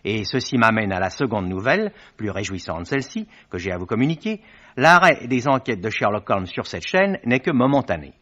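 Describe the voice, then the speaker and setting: A man's voice: fairly low pitch, very animated, fairly quick, sharp tone. A man presenting a radio programme in a formal, courteous, scripted 1950s style, on a dull vintage recording. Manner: courteous, ceremonious.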